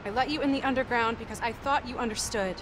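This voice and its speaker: A woman's voice: fairly high pitch, quick pace, background noise, lightly acted. A young American woman playing a hurt, stubborn avenger in a game cutscene, speaking in a blunt, intense way. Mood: angry, hurt. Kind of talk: video game cutscene acting. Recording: music behind the voice.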